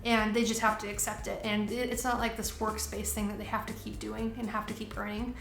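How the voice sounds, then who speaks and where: A woman's voice: mid-range voice, fairly quick, vocal fry, roomy sound, slight background noise. A young woman missionary chatting casually in a Q and A, with lots of "like" fillers and easy laughs. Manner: relaxed, many "like" fillers, laughs easily. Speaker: missionary in Taiwan. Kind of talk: casual Q and A chat.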